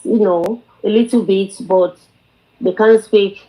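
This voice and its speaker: A middle-aged Nigerian woman, a native Yoruba speaker, chatting casually in a hesitant, halting way with a Nigerian accent. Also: mid-range voice, fairly even intonation, dark tone, poor audio. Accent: Nigerian Yoruba-language. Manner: hesitant, halting, often says "you know". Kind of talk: casual chat.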